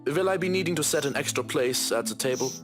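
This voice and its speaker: An actor playing a formal, dryly sardonic butler in a visual novel, with music behind him. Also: male voice, medium pitch, quick pace, close to an everyday voice. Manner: formal, dry, deadpan.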